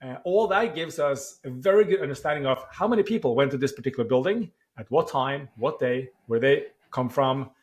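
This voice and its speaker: A man in his forties from Norway with a Norwegian accent, a founder answering podcast interview questions thoughtfully, often pausing with "uh" and restarting phrases. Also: medium pitch. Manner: thoughtful, with frequent "uh" pauses and restarts. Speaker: startup founder.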